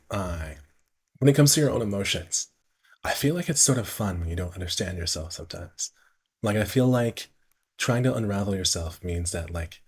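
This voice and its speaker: A man's voice: lowish voice, slightly rough. A man reading a visual novel aloud on a stream with casual commentary, sometimes stumbling over words. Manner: stumbles over words and rereads phrases while narrating. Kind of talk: amateur read-aloud narration with casual commentary.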